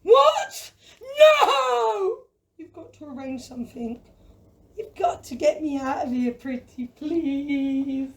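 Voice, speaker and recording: A woman acting a gentle, cowardly dragon in a children's audio play, theatrical, fussy and exclamatory. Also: sits high, lively intonation, slow pace, vocal fry, heavily acted. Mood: panicked. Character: flamboyant, cowardly, gentle dragon who shuns fighting. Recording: distant microphone.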